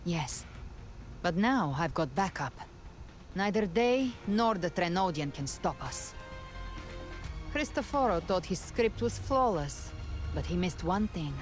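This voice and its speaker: A slightly low female voice for a calm, determined young game heroine. She is firm and earnest, with soft music behind her. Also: takes it slowly, pauses often. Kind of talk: dramatic fantasy game acting.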